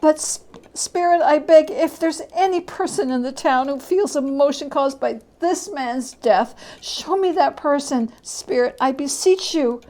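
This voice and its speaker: Brief: terrified old miser acted by a woman, pleading and stammering, raised pitch, storybook ghost tale. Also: measured pace, a little mellow. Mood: anguished pleading. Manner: stammering, imploring.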